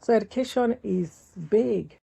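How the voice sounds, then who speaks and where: A Nigerian woman with a Nigerian accent, a podcast guest calmly explaining her work with young people. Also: on the high side, unhurried, gravelly, breathy edge, no echo, muffled recording. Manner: calm, explanatory, eases into points with fillers. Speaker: guest, youth mental health foundation founder. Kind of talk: casual interview conversation.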